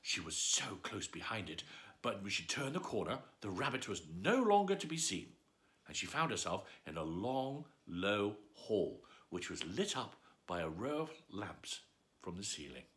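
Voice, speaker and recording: A man's voice: on the low side, sing-song, a little slow, a bit bright. Playful man reading a bedtime story. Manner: playful, adds asides to the story.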